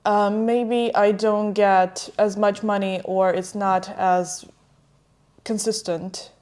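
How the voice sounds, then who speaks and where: A woman's voice: mid-range voice, level tone, takes it slowly, darkish tone. A woman from Ukraine, a native Ukrainian speaker with an American-sounding accent, hosting a casual chat with her partner. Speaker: podcast host.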